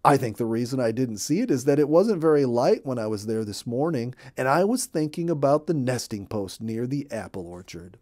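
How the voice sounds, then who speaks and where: A polite male bluebird from a storybook acted by a man. He sounds earnest and courteous, with a plain, mellow voice. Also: mid-range voice, expressive, fairly quick, hardly any pauses, close to an everyday voice.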